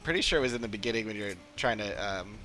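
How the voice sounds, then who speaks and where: A man chatting casually as a game streamer, rambling and halting as he talks. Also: on the low side, sharp tone. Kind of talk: casual livestream chat. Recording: game music behind the voice. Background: video game music.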